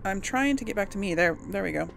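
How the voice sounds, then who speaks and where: A woman gives casual, chatty game commentary, musing aloud as she goes. Her voice is lively in pitch with a gravelly creak. A faint layer of noise sits behind her. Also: fast. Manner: thinks aloud to herself. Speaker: gamer hosting a role-play playthrough.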